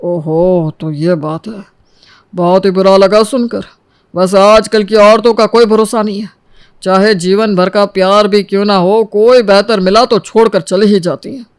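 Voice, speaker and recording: Male actor as judgmental lawyer in a storybook tale: high-pitched, crisp, very dry sound, disapproving. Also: fairly even intonation, measured pace, dry recording. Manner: insinuating, disapproving. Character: judgmental, gossipy male divorce lawyer stirring up resentment.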